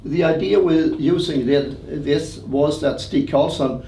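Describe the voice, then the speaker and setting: A middle-aged or older man giving unscripted technical interview answers in halting, hesitant, non-native English. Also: fairly high pitch, vocal fry, mellow. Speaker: loudspeaker designer being interviewed. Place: indoor demonstration room at a hi-fi show. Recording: roomy, distant mic, noisy show room.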